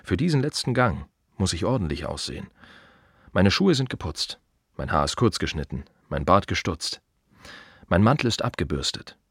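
An earnest gravedigger in a radio play monologue. His voice is low and moves expressively through short, measured phrases. He sounds proud and reflective, recorded close and dry. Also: very animated, a bit bright, husky, no room sound, exaggerated voice. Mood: earnest, matter-of-fact. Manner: measured, deliberate, short clipped phrases with pauses.

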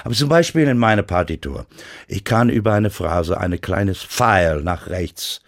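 A man's voice: low voice, expressive. A man whose first language is English, with an American accent, speaking German as a radio interview guest and often stopping to search for words. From United States. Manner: halting, searching for German words, frequent restarts. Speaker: guest pianist.

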